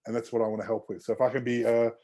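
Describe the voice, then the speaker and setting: A man's voice: medium pitch, level tone, very fast, mellow. A man, a clinician guest in an interview, talking in a rambling, friendly way with many "you know"s and restarts. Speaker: osteopath guest. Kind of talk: casual interview chat.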